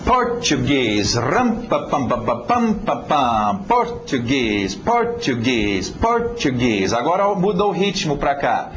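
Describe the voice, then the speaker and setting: A man's voice: medium pitch, big pitch swings, slow, few pauses, a bit bright, dull audio, slight background noise. A man with an American accent, a native English speaker, teaching a video lesson and stretching out words and chanting rhythm syllables to demonstrate them. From United States.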